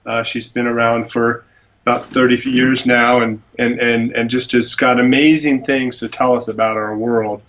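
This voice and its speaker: A man's voice: mid-range voice, flat delivery, normal speed, fairly bright tone. Guest attorney, male, mid-range voice, eager and effusive, heard over a muffled phone connection. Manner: enthusiastic, gushing about a speaker. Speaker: animal rights attorney, guest. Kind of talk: phone interview.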